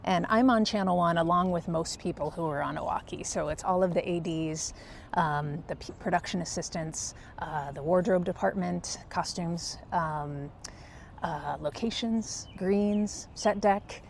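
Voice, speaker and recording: A soft-spoken, low-key woman explaining her work casually in a vlog, pausing hesitantly as she goes. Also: a little hiss or hum. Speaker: film crew first assistant director.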